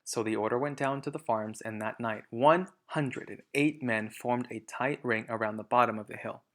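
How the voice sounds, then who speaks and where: A man's voice: medium pitch, moderate pace. Man reading a children's story aloud. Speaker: storybook reader. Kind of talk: children's read-aloud narration with explanatory asides.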